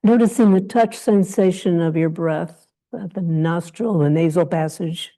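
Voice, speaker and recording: A woman guides a meditation in a mellow, fairly low voice. She gives gentle instructions in short phrases and leaves long pauses between them. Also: low-quality recording. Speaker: meditation teacher leading a guided meditation.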